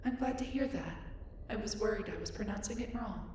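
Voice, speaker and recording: A woman's voice: medium pitch, fairly quick, a little mellow, close to an everyday voice. A woman playing a curious, polite young female alien in an audio roleplay, speaking in formal, precise, reserved phrasing. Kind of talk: scripted roleplay audio drama addressed to listener. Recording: echoey, distant, noisy spaceship ambience.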